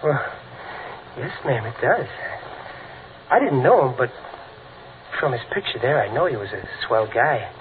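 A man's voice: medium pitch, lively intonation, unhurried, pauses often, natural voice. An American actor playing a kindly, plain-spoken working man in a 1940s radio comedy, with a folksy, hesitant, earnest way of talking. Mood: earnest, gentle. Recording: tinny, band-limited old radio with faint noise.

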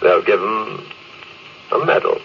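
A man's voice: lowish voice, expressive, slow, vocal fry, full character voice. An actor playing a proud, ambitious male space officer in a stiff, self-important 1950s radio drama style. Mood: proud, insistent. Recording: muffled noisy vintage radio recording.

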